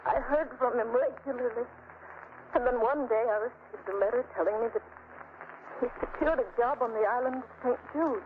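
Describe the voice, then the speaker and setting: Woman as a fretful tearful young woman, high voice, tinny phone-thin sound, hiss. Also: a little fast, natural voice. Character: anxious young woman seeking her fiancé. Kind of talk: 1930s radio acting. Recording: tinny, hissy old radio broadcast.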